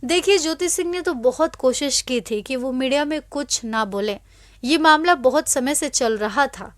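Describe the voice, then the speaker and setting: A woman's voice: high voice, normal speed, no echo, clean studio sound. A woman reading scripted celebrity news as an anchor, in an emphatic, dramatic storytelling way.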